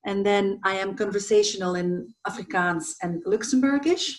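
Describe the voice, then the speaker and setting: A woman with a Flemish accent, a translator, talking about her languages in a casual interview, pausing often with fillers. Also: medium pitch. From Belgium, Flanders. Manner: thoughtful, many fillers, light self-deprecating laughs. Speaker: guest, translator.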